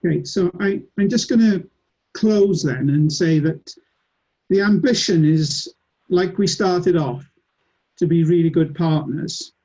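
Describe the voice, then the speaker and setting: A man's voice: on the high side, a little slow, mellow, breathy edge, poor audio. Earnest man chairing a meeting. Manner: earnest, rallying closing remarks.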